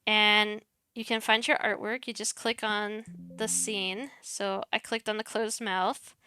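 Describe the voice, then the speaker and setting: A young woman streamer, soft-spoken and chatty, walking viewers through her streaming setup on a headset mic while muttering as she clicks around. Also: mid-range voice, level tone, measured pace, clear, resonant tone, no room sound, studio quality. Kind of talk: casual screen-share tutorial.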